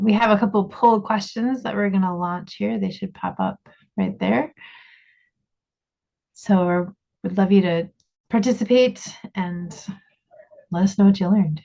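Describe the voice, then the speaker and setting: A woman's voice: mid-range voice, measured pace, gravelly, long pauses, mellow. A woman hosting a webinar, moderating speakers and running polls over a video call in an upbeat way. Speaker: webinar host. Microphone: computer mic on a video call. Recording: dull lo-fi call audio.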